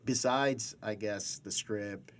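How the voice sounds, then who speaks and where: A man hosting a casual interview, bantering and asking questions in a rambling, halting way. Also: fairly low pitch, a bit bright, a little hiss or hum. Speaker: interviewer, host.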